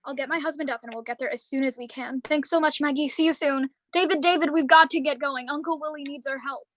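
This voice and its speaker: A woman playing a worried, brisk mother in a live radio drama, speaking softly. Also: high voice, fairly even intonation. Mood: groggy, worried.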